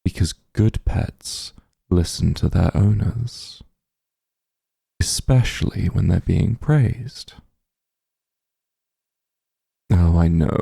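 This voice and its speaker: A man playing a possessive, doting immortal demon lord in an intimate ASMR roleplay, teasing and smug, speaking softly in a gravelly, breathy voice. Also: deep voice, dry recording, full character voice. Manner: slow, soft, teasing coaxing.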